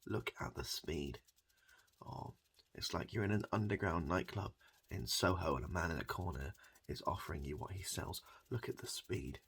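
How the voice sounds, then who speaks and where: A man improvising a softly spoken ASMR comedy roleplay as a hairdresser, rambling and repeating himself in a halting, deadpan way. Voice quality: gravelly, husky low voice.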